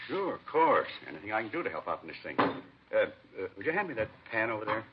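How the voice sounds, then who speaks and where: An actor playing a fussy, self-satisfied nightclub owner, chatty and offhand, in a 1950s radio crime drama. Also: male voice, on the low side, big pitch swings, fast talker. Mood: offhand, distracted. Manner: chatty, offhand, breaking off into kitchen asides. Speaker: club owner being questioned. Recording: muffled, noisy vintage radio recording.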